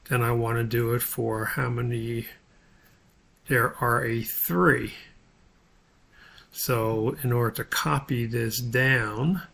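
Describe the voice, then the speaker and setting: A man with a gravelly, husky voice narrating an unscripted spreadsheet tutorial as an instructor, thinking aloud in a halting, step-by-step way. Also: mid-range voice, fairly bright tone.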